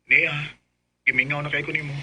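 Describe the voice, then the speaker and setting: An actor playing an affectionate, wistful young provincial boyfriend with a Cebuano Filipino accent in a radio drama. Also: male voice, on the high side, little pitch movement, fairly quick, sharp tone, breathy edge, exaggerated voice. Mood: longing, tender.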